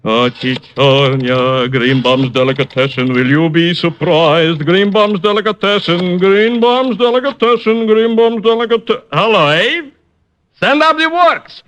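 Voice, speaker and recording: A man's voice: high-pitched, unhurried, fairly bright tone. A man playing a comic, broke Russian artist and informant with a Russian accent in an old radio comedy-mystery. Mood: elated. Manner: theatrical, exclamatory, scornful. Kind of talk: 1950s comedic radio acting.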